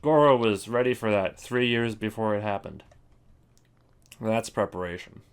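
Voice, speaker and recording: A man's voice: on the low side, normal speed, clean tone. A man giving casual, unscripted video commentary, rambling and thinking aloud in a wry way. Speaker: video host ranking game voice lines.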